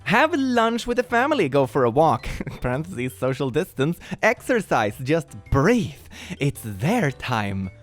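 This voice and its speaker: A man's voice: fairly high pitch, very animated, measured pace, faint music behind. A man from Sweden doing comedic reaction commentary, reading posts aloud with mock drama and sarcastic, incredulous asides. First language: multilingual, not native English. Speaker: YouTube commentary host.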